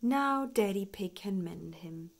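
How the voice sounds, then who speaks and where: A reassuring mummy pig from a picture book, read by a woman. She speaks in a bright, soothing manner suited to small children. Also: medium pitch, animated, takes it slowly, vocal fry, exaggerated voice.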